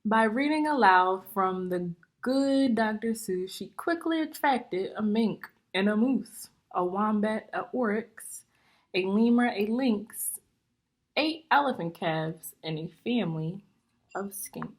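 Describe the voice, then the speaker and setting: A woman teacher reading a picture book aloud to young students in a deliberate, instructive way, pausing to explain and ask questions. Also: medium pitch, expressive.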